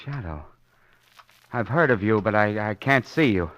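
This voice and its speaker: An actor playing a nervous, frightened, fatalistic city employee in an old-time radio crime drama, agitated and halting. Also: male voice, on the low side, expressive, a bit bright. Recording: noisy vintage radio recording with clicks.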